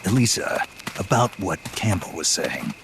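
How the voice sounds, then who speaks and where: A man playing a terse, gruff, stoic soldier hero in a video game drama. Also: deep voice, big pitch swings, normal speed, over music, exaggerated voice. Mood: subdued.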